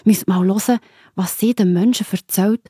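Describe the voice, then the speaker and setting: A woman's voice: medium pitch, steady tone, moderate pace, dark tone, breathy edge. A woman with a Swiss German accent narrating a scripted story for children, explaining simply and addressing the listener directly.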